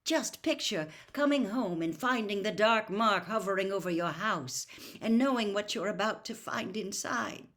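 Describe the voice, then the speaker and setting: A woman's voice: mid-range voice, moderate pace, fairly bright tone, voice pushed up, natural voice. A woman voicing a grave, weary middle-aged father explaining things in a storybook reading. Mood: somber.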